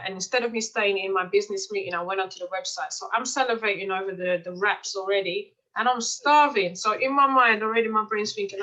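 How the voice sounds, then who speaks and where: A bright, clear woman tells her stories in a relaxed, chatty way. She often restarts her sentences and fills pauses with "um" and "uh." Her pitch sits in the middle of the range. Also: low-quality recording. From Mozambique. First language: Portuguese. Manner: chatty storytelling, frequent fillers and restarts. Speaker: life coach and client. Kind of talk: testimonial interview, casual.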